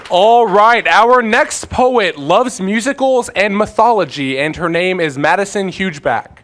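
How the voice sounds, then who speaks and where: Slow-announcing man with a high voice. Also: expressive, crowd noise, noisy background. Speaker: event emcee.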